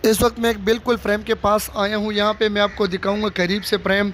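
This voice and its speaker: A man with a high-pitched voice talks straight to his viewers as he walks through an outdoor stadium. He is recorded on a handheld phone. Faint outdoor noise sits behind him. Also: level tone, fairly quick, fairly bright tone. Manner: addresses viewers directly, repetitive showing phrases. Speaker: vlogger giving construction updates. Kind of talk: casual walking tour narration. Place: outdoors in a cricket stadium under construction. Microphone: handheld phone camera held by the speaker.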